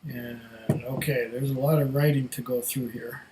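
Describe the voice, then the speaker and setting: A man's voice: medium pitch, flat delivery, moderate pace, noisy background. Congested, tired man chatting casually. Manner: rambling, many fillers. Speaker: whisky reviewer. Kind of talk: casual vlog chat.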